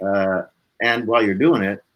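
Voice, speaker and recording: A man's voice: lowish voice, moderate pace, highs cut off. A man speaking as a musician guest in a casual live interview, with informal, laid-back phrasing.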